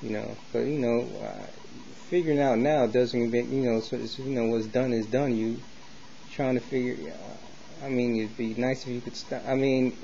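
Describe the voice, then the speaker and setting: Mellow-voiced American man rambling, lo-fi recording. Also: mid-range voice, steady tone, moderate pace, gravelly. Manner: hesitant, repeats and circles back, colloquial street slang. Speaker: vlogger sharing opinions. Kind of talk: casual rambling monologue to camera. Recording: dull lo-fi sound with faint noise.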